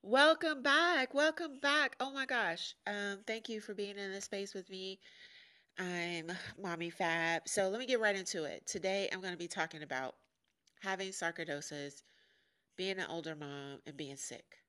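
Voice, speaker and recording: Podcast host, woman in her 40s from Chicago; deep nasal tone, sharp edge, frank and joking. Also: normal speed. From Chicago, USA. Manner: frank and joking, laughs often. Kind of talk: casual candid monologue.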